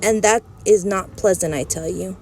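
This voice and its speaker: Mellow woman reading a children's book. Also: mid-range voice, moderate pace, a little hiss or hum. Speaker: audiobook reader.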